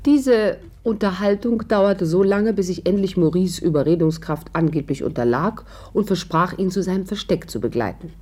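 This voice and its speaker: A woman's voice: fairly low pitch, moderate pace, hardly any pauses, dark tone, husky. An actress playing a heroine who recounts her adventure with composure in a radio play. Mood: composed. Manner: composed storytelling. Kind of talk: narration in a 1950s radio play.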